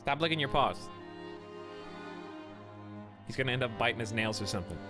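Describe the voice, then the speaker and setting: A man's voice: medium pitch, expressive, normal speed. A man with a cold, chatting casually with viewers while live-streaming a game from home on a desk mic. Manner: chatty, greets viewers, jokes. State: has a cold. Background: faint game music.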